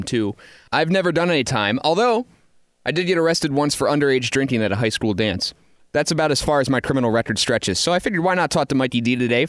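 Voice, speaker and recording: A man's voice: medium pitch, fairly bright tone, no room sound, studio quality. A man hosting a radio interview in a casual, joking, self-deprecating and informal way. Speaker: radio show host.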